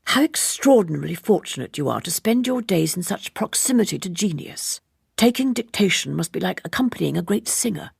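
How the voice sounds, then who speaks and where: Female reader as young literary gentleman: deferential, grave, earnest, slightly husky audiobook character. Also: lowish voice, lively intonation, fairly quick, no room sound, studio quality, heavily acted. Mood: earnest awe. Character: earnest, polite, bookish young male novelist.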